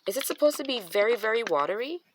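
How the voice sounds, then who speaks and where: A woman's voice: fairly high pitch, expressive, quick pace, background noise. A woman with a Guyanese accent, chatting casually as she shows off her craft supplies and thinks aloud with trailing restarts. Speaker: craft channel host.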